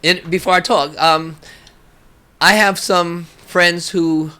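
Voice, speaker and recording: A man speaking as an interview guest, telling stories casually and haltingly, repeating phrases as he goes. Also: fairly high pitch, fairly even intonation, bright, forceful tone, clean tone. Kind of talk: casual conversational storytelling.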